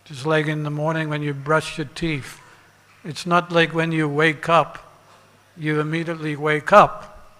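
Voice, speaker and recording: A man giving a religious sermon as a monk and teacher, deliberate, pausing and repeating phrases for emphasis. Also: fairly high pitch, flat delivery, pushed and bright. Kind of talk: sermon with parables and rhetorical questions. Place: large reverberant temple hall. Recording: long hall reverb.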